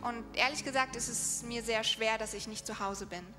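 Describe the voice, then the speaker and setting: A woman's voice: fairly high pitch, fairly even intonation. Woman interpreting live in an echoing hall. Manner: halting, restarts mid-sentence. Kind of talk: live stage interpreting into German. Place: large hall. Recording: echoey hall with music behind.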